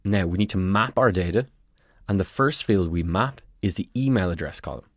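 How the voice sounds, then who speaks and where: Low-voiced man narrating a tutorial. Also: lively intonation, normal speed, no room sound, studio quality. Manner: clear step-by-step instructional delivery. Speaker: tutorial presenter.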